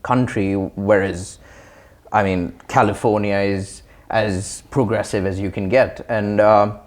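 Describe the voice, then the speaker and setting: A young man with a slightly low-pitched voice speaks as an interview guest. He is from Sri Lanka. He phrases his reflections in a measured, thoughtful and articulate way. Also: fairly even intonation. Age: young adult.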